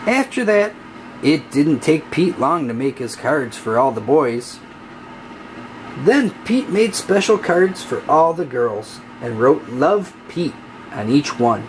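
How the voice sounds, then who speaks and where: A narrator reading a children's picture book aloud in an expressive, deliberate way. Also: medium pitch, a little hiss or hum, low-quality recording. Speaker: storybook narrator.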